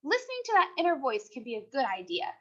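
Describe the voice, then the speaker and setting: A woman voicing a patient, gently encouraging male schoolteacher in a storybook. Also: high-pitched, huge pitch swings, fast. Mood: encouraging, curious. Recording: dull, lo-fi sound.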